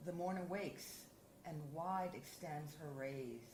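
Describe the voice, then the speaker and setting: A woman editor reading poetry aloud at a symposium, measured and deliberate. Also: sits low. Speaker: anthology editor and reader. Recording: roomy hall with faint hiss.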